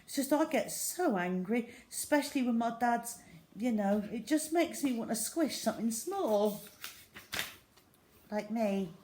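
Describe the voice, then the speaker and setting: A woman voicing a blunt young schoolgirl bully who gushes excitably, in a children's storybook reading. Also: fairly high pitch, a little slow, slight background noise, pitched above the performer's own voice. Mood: tearful, sorry. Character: tough schoolgirl bully turned tearful, eager new friend.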